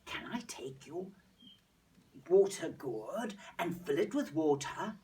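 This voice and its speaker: A woman's voice: low voice, big pitch swings, takes it slowly, distant mic, slight background noise, voice pushed down, full character voice. Curious little storybook hare, eager and kind, put on by a woman reader. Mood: helpful. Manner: bright, eager, concerned. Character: small curious helpful African hare.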